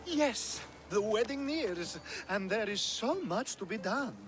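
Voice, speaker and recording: An actor playing a flamboyant, flirtatious ancient Greek groom-to-be in a video game, with a theatrical, teasing way of drawing out words. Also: male voice, sits high, lively intonation, fairly bright tone, a little hiss or hum, full character voice. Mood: dreamy, charming. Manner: theatrical, drawn-out, teasing delivery. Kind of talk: video game cutscene acting.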